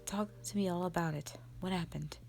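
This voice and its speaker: A woman playing a strict, commanding mother who leads a warrior band, speaking sternly and deliberately in a roleplay audio drama addressed to the listener. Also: on the low side, steady tone, creaky, a little mellow. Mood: grave, stern. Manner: stern, deliberate, halting delivery with sighs.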